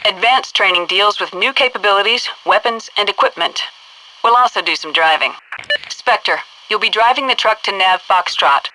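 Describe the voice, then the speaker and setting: An actress voicing a stern, brisk military headquarters instructor in a video game, giving clipped, commanding tutorial orders over a noisy radio-like transmission. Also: female voice, mid-range voice, lightly acted. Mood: brisk, instructive.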